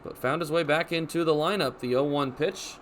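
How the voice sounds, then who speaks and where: Young guy doing baseball radio play-by-play, voice a bit high, some ballpark noise behind him. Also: a bit bright. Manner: descriptive, measured delivery that rises on action. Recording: clear background ambience noise.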